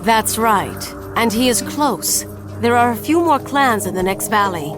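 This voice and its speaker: A composed, knowing Norse holy woman from a mythological game. Her voice sits fairly high as she speaks with dramatic purpose, with clear music playing behind her. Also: animated, unhurried. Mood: grave, informative. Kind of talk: dramatic video game cutscene acting.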